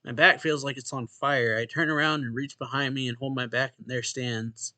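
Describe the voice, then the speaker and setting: A man's voice: medium pitch, steady tone, moderate pace, sharp tone. A man hosting a let's play, reading a visual novel's narration and dialogue aloud and casually acting out the lines.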